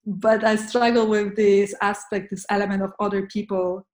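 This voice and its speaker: A woman from Poland, speaking as an interview guest in an earnest, halting way with many restarts. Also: mid-range voice, flat delivery, a little mellow. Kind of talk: conversational interview. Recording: roomy echo.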